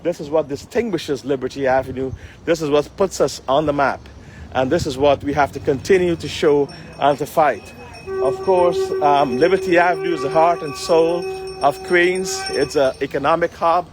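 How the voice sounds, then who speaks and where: A man's voice: fairly high pitch, normal speed, over music. A man live-streaming as a community leader, addressing viewers in earnest, formal public-speaker phrasing. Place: outdoors on a city street. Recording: outdoor street noise, passing vehicles. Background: street ambience and traffic.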